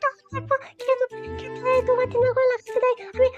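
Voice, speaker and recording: A woman voicing a foul-mouthed, nagging young wife in a comedy cartoon, with a West Bengal accent and a pitched-up voice. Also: little pitch movement, fast. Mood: wailing, upset. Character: shrill, quarrelsome young wife, defiant and aggrieved. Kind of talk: amateur cartoon comedy squabbling. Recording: dull lo-fi sound, music behind.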